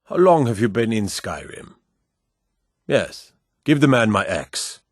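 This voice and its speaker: An actor playing a proud, stern middle-aged warrior lord in a fantasy game, with a commanding, measured delivery. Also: male voice, fairly low pitch, big pitch swings, no echo, clean studio sound, heavily acted. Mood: curt, authoritative. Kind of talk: scripted fantasy game dialogue.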